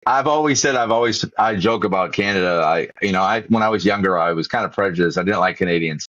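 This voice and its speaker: Podcast host, male, Boston accent, low voice, loose rambling delivery; dry, dull recording. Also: fast talker, fairly bright tone, dry recording. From Massachusetts, USA. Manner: rambling, many restarts and repeated words. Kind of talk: casual interview chat.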